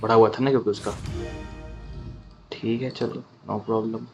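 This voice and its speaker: Rapid-fire Indian man doing gameplay commentary. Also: lowish voice, fairly even intonation, gravelly, muffled recording, faint music behind. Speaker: gaming host.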